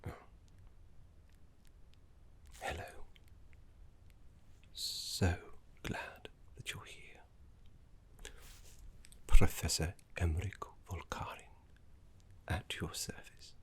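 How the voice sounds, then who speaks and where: A man playing a genteel, fussy, courteous professor of necromancy in an ASMR roleplay, speaking in a soft, breathy, formal way with dramatic pauses. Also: deep voice, level tone, heavily acted. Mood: courteous, intimate.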